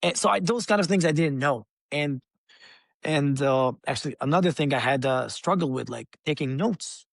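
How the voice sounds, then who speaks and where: A man's voice: fairly high pitch, moderate pace, creaky, no echo, studio quality. A young man from Egypt, a guest on an interview podcast, telling his story in a loose, chatty way with many restarts.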